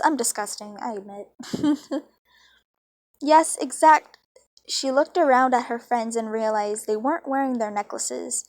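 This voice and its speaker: A woman's voice: fairly high pitch, dry recording. A young woman reading a story aloud casually, with informal, self-deprecating, giggly asides. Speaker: fan fiction reader. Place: dry, close recording on a phone.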